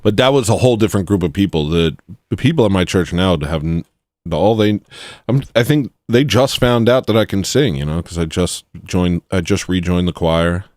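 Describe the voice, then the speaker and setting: A man with a rough voice, chatting casually and rambling self-deprecatingly while making music on a stream. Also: sits low, moderate pace, a little mellow, no echo, clean studio sound.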